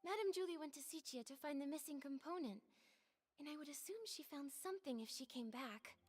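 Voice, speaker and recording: Young game heroine voiced by a woman: high, gentle, earnest, thoughtful investigator. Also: a little fast, close to an everyday voice. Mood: pensive, earnest. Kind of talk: video game voice acting.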